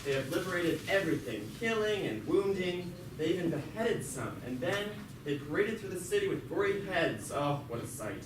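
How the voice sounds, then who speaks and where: A man's voice: high voice, lively intonation, normal speed, few pauses. A man playing a talkative jailed revolutionary who narrates his story in an amateur puppet show with sardonic, stumbling asides. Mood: sardonic storytelling. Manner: conversational, sardonic asides, stumbling over words. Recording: echoey, very noisy, distant mic.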